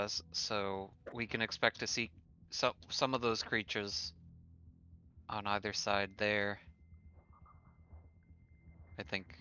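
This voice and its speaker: A man's voice: lowish voice, sharp tone, clean tone. A man casually discussing plans as a player in a tabletop roleplaying game.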